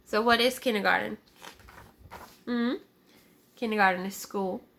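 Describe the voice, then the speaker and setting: A woman teacher reading a picture book aloud to young children, deliberate and child-directed, pausing to explain words and ask simple questions. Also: medium pitch, steady tone, vocal fry, fairly bright tone, slight background noise.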